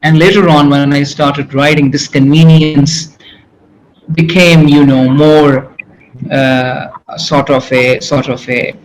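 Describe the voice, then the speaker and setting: Pakistani guy, fairly high voice, keeps saying you know and you see, some faint noise behind. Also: flat delivery, poor audio. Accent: Pakistani English. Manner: halting, repeats words, many "you know" and "you see" fillers. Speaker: poet and English professor, guest. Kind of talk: reflective interview answers.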